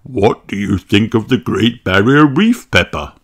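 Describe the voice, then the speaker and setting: Man playing easygoing daddy pig, unhurried. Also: fairly low pitch, expressive. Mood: curious. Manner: reassuring, affable. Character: easygoing, reassuring cartoon father pig. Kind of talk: children's storybook character voice.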